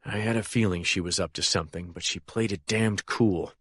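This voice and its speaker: A man voicing a blunt federal agent who talks in a gruff, clipped, exasperated way. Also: low-pitched, fairly quick, fairly bright tone, dry recording, clean studio sound.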